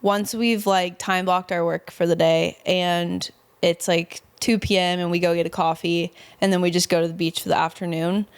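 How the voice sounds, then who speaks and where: A woman's voice: fairly low pitch, flat delivery, moderate pace, hardly any pauses, clean tone. An American woman from the Midwest answering as a podcast guest in informal, effusive, conversational speech peppered with "like". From small-town Indiana, American Midwest. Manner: informal, frequent "like", effusive about life. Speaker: podcast guest, model and content creator. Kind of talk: casual conversational interview answers.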